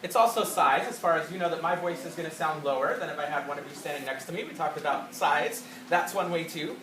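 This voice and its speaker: A man's voice: fairly high pitch, fast talker. A man music teacher explaining to a class how the voice works, demonstrating by singing. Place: school classroom. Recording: echoey classroom from far off with loud noise.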